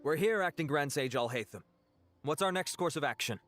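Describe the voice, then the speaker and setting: A man's voice: on the high side, lively intonation, fairly quick, a bit bright, soft background music. A man playing a dutiful investigating officer in a video game, speaking formally and respectfully in a businesslike way. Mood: deferential.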